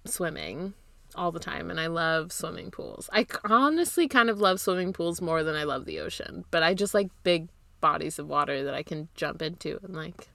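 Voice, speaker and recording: A woman's voice: fairly low pitch, lively intonation, moderate pace, vocal fry, pushed and bright, dry recording. A young woman co-hosting a podcast, chatting casually with swearing in a blunt, rambling way. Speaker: podcast co-host.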